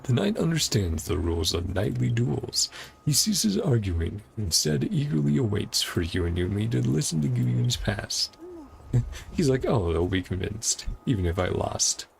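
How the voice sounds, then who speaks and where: A man's voice: mid-range voice, very animated, unhurried, vocal fry, a little hiss or hum. A man casually commenting as he plays a video game, often reading along in musing fragments. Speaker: streamer playing a video game. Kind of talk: casual gameplay commentary, reading subtitles aloud. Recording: game music behind the voice. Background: game music.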